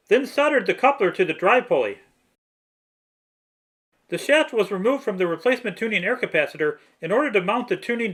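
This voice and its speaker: High-pitched, bright-voiced man narrating. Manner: methodical, matter-of-fact. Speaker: hobbyist restorer narrating own repair video. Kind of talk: scripted how-to narration.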